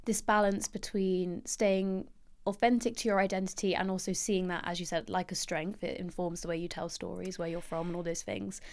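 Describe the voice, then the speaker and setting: A woman's voice: lowish voice, flat delivery, a little fast, a little mellow. A woman hosting a podcast interview, asking questions in a casual, affirming way. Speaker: podcast host.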